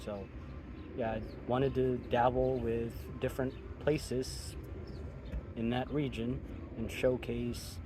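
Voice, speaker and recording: A young man from Ethiopia, a chef, talking thoughtfully in a casual outdoor chat, with many pauses and ums. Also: mid-range voice, little pitch movement. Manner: thoughtful, measured, with frequent ums. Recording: outdoor recording with clear traffic noise.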